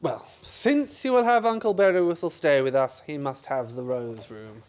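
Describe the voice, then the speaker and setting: A man's voice: fairly high pitch, normal speed, heavy background noise, natural voice. A man playing an exasperated, sarcastic, scheming husband in a comic radio play, blustering and wry in a theatrical way. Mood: falsely gracious.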